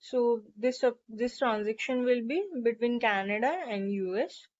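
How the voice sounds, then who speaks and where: A woman with a fairly high voice talks through an on-screen process step by step. Her delivery is instructional and explanatory, as a tutorial presenter. The recording is slightly dull and lo-fi. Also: measured pace, a little mellow. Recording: dull, lo-fi microphone sound.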